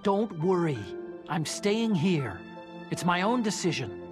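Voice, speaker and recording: An actor playing an earnest, excitable young American scientist ally in a video game. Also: male voice, sits high, takes it slowly, music in the background, full character voice. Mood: earnest resolve. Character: nerdy earnest young male scientist ally, newly resolute. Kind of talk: dramatic video game radio-call acting.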